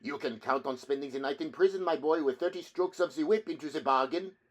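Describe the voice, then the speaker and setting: A man voicing a mocking medieval street bystander in a storybook reading, taunting and loud. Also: on the high side, brisk, pitched above the performer's own voice, natural voice.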